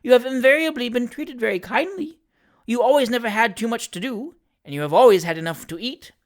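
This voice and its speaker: A woman reading the part of a timorous, indignant old lady in a storybook, shrill and trembling, exclamatory and imperious. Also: animated, fairly quick, close to an everyday voice. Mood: trembling, anxious. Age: older.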